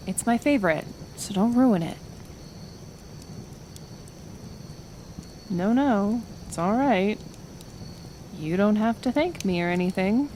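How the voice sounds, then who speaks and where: A woman playing a kind, stubborn, lonely half-elf healer in an intimate ASMR roleplay, speaking softly and hesitantly with soothing pauses. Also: mid-range voice, a little hiss or hum. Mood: gentle, reassuring.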